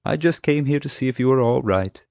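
A man's voice: mid-range voice, expressive, normal speed, no room sound, pitched above the performer's own voice, close to an everyday voice. Man voicing a wry, defiant zoo consultant. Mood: relieved, gentle. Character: wry, defiant male animal-security consultant, slyly mischievous. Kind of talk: audiobook character dialogue.

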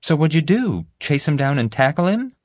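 A man's voice: on the high side, sing-song, normal speed, no echo, pitched above the performer's own voice. A man voicing a wry, eager young girl in an audiobook. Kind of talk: audiobook character voice.

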